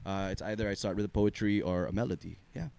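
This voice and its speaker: A young man from the Philippines with a low baritone voice, chatting in a relaxed, rambling way as a singer-songwriter guest in a radio studio. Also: fast talker, gravelly, clear, resonant tone. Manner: relaxed, rambling, filler-heavy, laughs easily. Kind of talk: casual radio interview chat.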